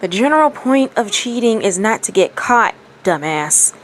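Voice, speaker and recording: A woman's voice: medium pitch, very animated, moderate pace. A woman voicing an exasperated, grudging male ninja exam proctor in a storybook reading. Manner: incredulous, grudging. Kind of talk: storybook reading of fan fiction. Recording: faint background noise.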